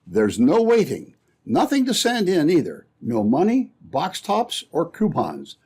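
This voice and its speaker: A man's voice: on the high side, wildly swooping pitch, unhurried, a little mellow, breathy edge. A man announcing in scripted old-time radio style, with a peppy salesman's delivery. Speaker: announcer and narrator.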